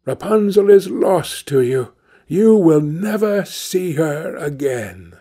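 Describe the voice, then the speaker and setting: A man's voice: a little high, animated, slow pace, mellow. A man voicing a wicked old enchantress in a storybook, cruel, mocking and exclamatory. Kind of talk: storybook character voice.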